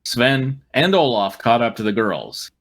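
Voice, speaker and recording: A man with a lively, swooping delivery reads amateur fiction aloud. He narrates dramatically and drops in wry, amused comments in a mid-pitched voice. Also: measured pace. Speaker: reader and host. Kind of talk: dramatic fan fiction reading with wry asides.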